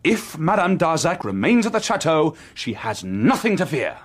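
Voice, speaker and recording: Intense young detective, expressively pitched. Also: male voice, very animated, moderate pace. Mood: confident. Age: young adult. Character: earnest, self-assured young male detective. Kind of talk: British radio drama acting.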